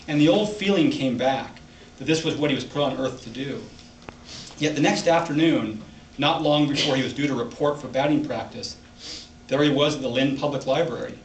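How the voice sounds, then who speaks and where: A man reads literary fiction aloud at a live author reading. His voice sits at an average pitch and comes from some distance in an echoey room, with light noise behind it. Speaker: author reading own short story. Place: library event room, indoors.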